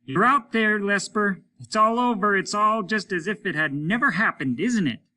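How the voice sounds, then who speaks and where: A man voicing a bitter, desperate dying spaceman in a story, forceful and needling. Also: high voice, normal speed, voice pushed up. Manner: needling, insistent. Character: bitter dying male spaceman, jealous, mean, desperate, shouting. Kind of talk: dramatic audiobook character reading.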